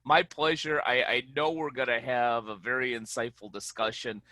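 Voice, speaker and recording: Bright-voiced friendly male host. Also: mid-range voice, steady tone, moderate pace, no echo. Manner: friendly, laughs easily, rambling asides. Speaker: podcast host. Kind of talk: casual interview hosting.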